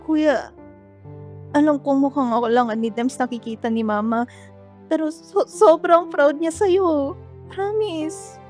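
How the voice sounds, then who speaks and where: Tender, apologetic Filipino student sister played by a woman, meek and mellow, light music underneath, radio drama. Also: high-pitched, a little slow. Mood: tender, grateful.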